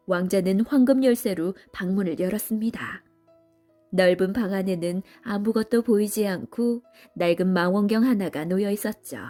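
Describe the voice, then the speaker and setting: A woman's voice: medium pitch, mellow. A woman storyteller giving scripted storybook narration for children.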